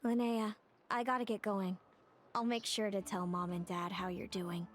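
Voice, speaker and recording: A woman playing a gentle, composed young sister with a prophetic gift in video game dialogue, speaking in a measured way. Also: medium pitch, flat delivery, close to an everyday voice. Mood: tender, composed.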